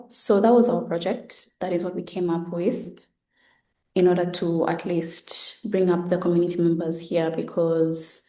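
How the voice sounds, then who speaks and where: A young woman presents a project in a low, mellow voice. She explains it somewhat hesitantly, with little movement in pitch. The online call sounds roomy and echoing. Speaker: student presenter. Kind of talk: semi-prepared project presentation.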